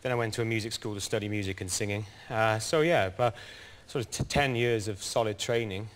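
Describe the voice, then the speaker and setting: A man in his twenties, a singer, chatting as a guest in a TV studio in a relaxed, earnest way. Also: on the low side, fairly quick. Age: 20s. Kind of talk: casual TV chat.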